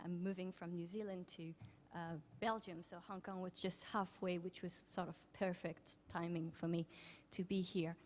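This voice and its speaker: A woman with a French accent giving an academic conference talk, polite and a little halting, with frequent restarts. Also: fairly low pitch, a little hiss or hum. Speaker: academic speaker. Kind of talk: conference presentation.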